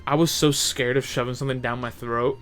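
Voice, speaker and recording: A man's voice: mid-range voice, steady tone, brisk, fairly bright tone, clear, resonant tone, faint music behind. A young man co-hosting a podcast in casual chat, rambling and crude, often correcting himself mid-sentence. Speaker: podcast co-host.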